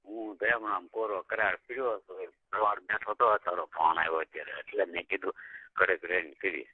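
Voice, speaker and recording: A man's voice: deep voice, fairly even intonation, a little fast, husky, dry recording. A man chatting casually and privately on a phone call. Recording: muffled tinny phone call recording.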